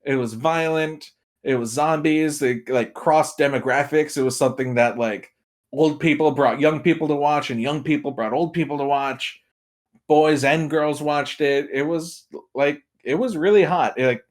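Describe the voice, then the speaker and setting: Higher-pitched man, emphatic podcast chat. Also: moderate pace. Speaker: podcast guest, film and game pundit. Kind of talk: casual opinionated podcast chat.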